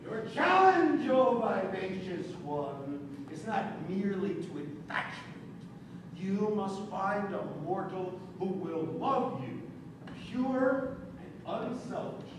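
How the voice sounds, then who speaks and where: An actor playing a mocking god of satire in a stage play, speaking in a grandiose, sardonic, declamatory way. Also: male voice, high voice, lively intonation, slow. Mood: sly challenge. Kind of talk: projected live stage play acting. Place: theater stage, recorded from afar. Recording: distant, echoey theater recording with background noise.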